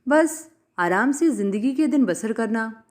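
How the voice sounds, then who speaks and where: A woman's voice: fairly high pitch, animated, measured pace. A woman voicing a stern, menacing male cleric in a story, preachy and commanding. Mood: self-justifying. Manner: commanding, self-justifying, preachy.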